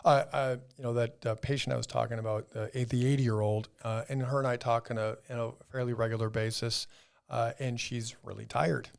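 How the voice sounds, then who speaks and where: Podcast doctor, man in his 50s. Fry-edged voice, conversational, loosely explaining and qualifying as he goes. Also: medium pitch, little pitch movement, a little fast. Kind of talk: casual conversational health discussion.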